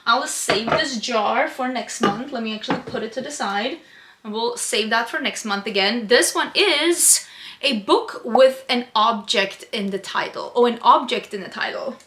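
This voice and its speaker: A woman's voice: a little high, moderate pace, pushed and bright, slight background noise. A young woman from Sweden, chatting casually and upbeat about books to camera, with lots of "like" fillers. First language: Swedish. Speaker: book vlogger. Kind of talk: casual book chat to camera.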